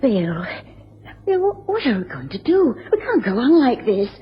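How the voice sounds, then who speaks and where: A woman's voice: fairly high pitch, sing-song, moderate pace. A woman playing a distraught wife in a radio drama, anguished and imploring, repeating herself. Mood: anxious. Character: distraught, pleading wife of a blackmailed man. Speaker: actress in a radio mystery. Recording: muffled, long reverb, faint noise.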